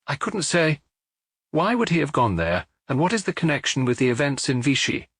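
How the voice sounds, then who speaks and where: A man reading an audiobook, voicing a terse, evasive, pipe-smoking detective who is quietly sure of himself. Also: on the high side, very animated, moderate pace, husky, dry recording, studio quality, voice pushed up, exaggerated voice. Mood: musing. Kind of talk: audiobook character dialogue.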